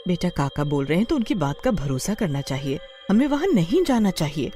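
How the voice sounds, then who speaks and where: Woman acting anxious mother, story character, pleading, fretful, pitched up, animated, music behind. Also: fairly quick. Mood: worried. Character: anxious, protective young mother of two.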